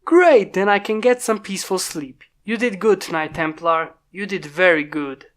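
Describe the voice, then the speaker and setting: A serious male inventor speaks in calm, measured tones, read by a woman in a fan story. She keeps him close to her own narrating voice. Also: fairly low pitch, lightly acted.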